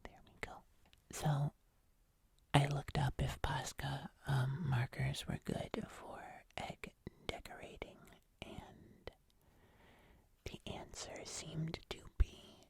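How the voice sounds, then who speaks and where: Woman as a slow whispering fuzzy monster, very low. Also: fairly even intonation, heavily acted. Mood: careful, mildly nervous. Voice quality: breathy whisper. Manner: slow, soft, hesitant, with small pauses. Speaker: ASMR host. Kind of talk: whispered ASMR, casual and hesitant.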